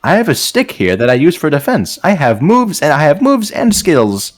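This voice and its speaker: A man's voice: fairly high pitch, huge pitch swings, moderate pace, soft background music, pitched above the performer's own voice. A man voicing a commanding old monkey shaman in a comedic fan fiction reading. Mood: stern warning.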